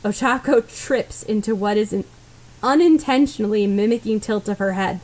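A woman's voice: mid-range voice, moderate pace, darkish tone, poor audio. Woman narrating a story. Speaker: fan fiction podfic reader.

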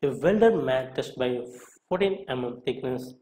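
A man narrating a scripted question-and-answer lesson as an instructor, reading out each question and short answer deliberately. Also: medium pitch, lively intonation, dark tone, poor audio.

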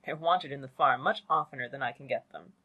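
Woman doing a dry, husky sardonic father. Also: rumbling low, phone-quality sound. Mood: wry. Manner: cool, deliberate, deadpan. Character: dry, sardonic middle-aged father, coolly mocking.

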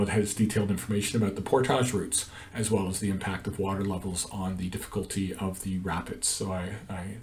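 A man's voice: low voice, darkish tone, far from the mic. A middle-aged man explains a canoe route over a shared screen, talking in a hesitant way with many ums and uhs. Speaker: canoe trip guide. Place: indoor room.

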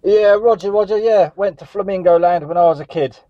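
A man's voice: high voice, fairly quick, no room sound. A man chatting and calling for contacts as a CB radio operator. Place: static vehicle on a seafront headland. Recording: dull lo-fi CB radio recording.

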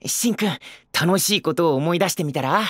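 A man's voice: fairly high pitch, brisk, fairly bright tone, no echo, clean studio sound. A man playing an earnest, boyish, easily flustered young aspiring idol in an audio drama, friendly in manner. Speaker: protagonist and narrator. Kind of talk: anime-style voice drama acting.

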